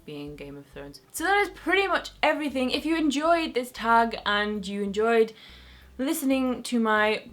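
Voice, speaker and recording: A woman's voice: on the high side, very animated, normal speed, fairly bright tone. A young woman with a Northern English accent, chatting casually to camera as a beauty vlogger in a rambling, self-mocking way.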